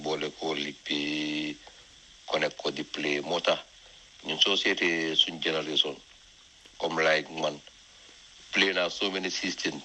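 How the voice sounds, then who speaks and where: A man's voice: very deep voice, pushed and bright, poor audio. A man with a Gambian accent, a former player talking in a panel discussion. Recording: faint background noise.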